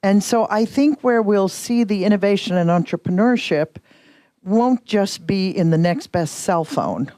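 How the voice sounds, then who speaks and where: A woman's voice: mid-range voice, animated, a little slow, mellow, dry recording. An older woman from the United States, a professor speaking on a conference panel, thoughtful and searching for words. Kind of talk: panel discussion. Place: conference hall.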